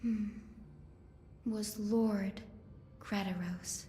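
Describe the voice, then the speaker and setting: A woman voicing a gentle, earnest young healer in a video game, soft-spoken and measured in her delivery. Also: mid-range voice, fairly even intonation, echoey room. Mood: solemn, wistful. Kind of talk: video game story dialogue.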